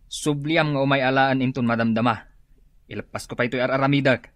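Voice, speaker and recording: A man's voice: medium pitch, steady tone, fairly quick, no room sound, close to an everyday voice. Man playing a grumbling Ilocano neighbor, dry sound. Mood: busy, grumbling. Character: busy, grumbling village handyman neighbor. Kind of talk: Ilocano radio comedy-drama acting.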